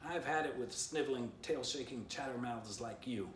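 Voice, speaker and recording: A man voicing a clever storybook dog leader putting on a tough act, loudly taunting a squirrel. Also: medium pitch, normal speed, voice pushed up, close to an everyday voice. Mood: mock-tough taunting. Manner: loud mock-tough taunting. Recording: roomy, distant mic, faint noise.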